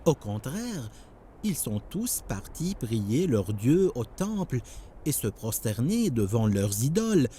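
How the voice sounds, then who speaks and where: Mellow, devout cartoon believer. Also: male voice, medium pitch, sing-song, slow pace, slight background noise. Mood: concerned. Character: devout, courteous follower of the prophet. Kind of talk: French cartoon dubbing.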